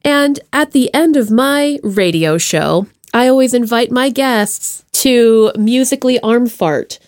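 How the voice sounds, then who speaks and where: A woman's voice: fairly high pitch, takes it slowly, a little mellow. A woman hosting a casual podcast interview with an effusive, playful way of talking. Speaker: podcast host.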